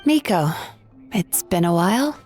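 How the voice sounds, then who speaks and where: An actress playing a composed young therapist in a video game, measured, polite and reassuring with a wry edge. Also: female voice, medium pitch, expressive, darkish tone, faint music behind, lightly acted.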